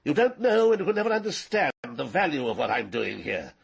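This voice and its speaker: Casting: cold-blooded patrician villain, mature, gravelly and husky yet fairly high-pitched, condescending, radio drama. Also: male voice, sing-song, a little fast, full character voice. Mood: cold, menacing. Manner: condescending, precise, coolly menacing. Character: arrogant, cold-blooded male scientist knight, middle-aged or older.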